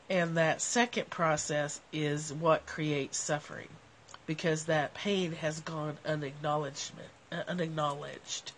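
A woman's voice: deep voice, slight background noise. A middle-aged woman with a cold and a nasal voice, talking casually to her livestream viewers on a covered porch, phone held at arm's length. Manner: animated, gestures a lot while talking. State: has a cold. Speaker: livestream host. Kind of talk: casual reflective talk to viewers.

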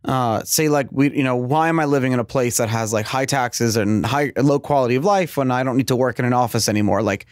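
A man in his thirties, born in the United States with Hebrew as his first language, hosting a casual video-call interview. He is chatty and curious, asking questions with lots of quick restarts. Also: mid-range voice, no echo, studio quality. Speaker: podcast host. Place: office at home, small room indoors. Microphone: headset on a video call.

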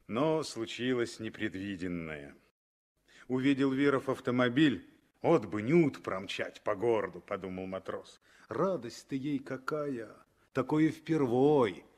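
A man narrating a 1960s radio drama as a storyteller, with expressive, dramatic phrasing. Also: mid-range voice.

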